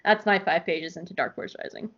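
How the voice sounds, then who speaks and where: A woman hosting a casual podcast, gushing and excitable, swearing freely and often laughing. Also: on the low side, brisk. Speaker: podcast host. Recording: dull lo-fi sound.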